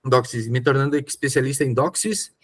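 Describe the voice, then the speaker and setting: A man's voice: mid-range voice, moderate pace. Rambling Chilean-born man, casual talk. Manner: rambling, with repeated words and fillers. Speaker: podcast host. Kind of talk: casual chat.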